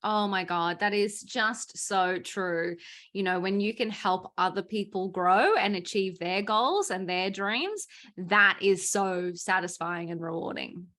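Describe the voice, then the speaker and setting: A woman's voice: medium pitch, measured pace, pushed and bright. Effusive woman podcast host. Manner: enthusiastic, effusive agreement. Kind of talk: casual interview chat.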